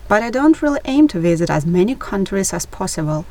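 Young woman reading calmly. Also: mid-range voice, fairly quick. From Russia. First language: Russian. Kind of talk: read-aloud scripted conversation.

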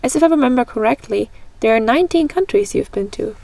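A woman's voice: a little high, animated, quick pace, vocal fry, mellow, no room sound. A young woman from Germany hosting a scripted conversation, reading steadily and carefully. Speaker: host. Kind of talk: read-aloud scripted conversation.

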